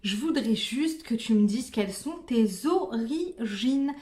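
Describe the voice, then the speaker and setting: A woman's voice: on the high side, a little mellow, roomy sound. A young woman chatting casually as a fellow vlogger, slangy and playful, swearing now and then. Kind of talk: casual chat.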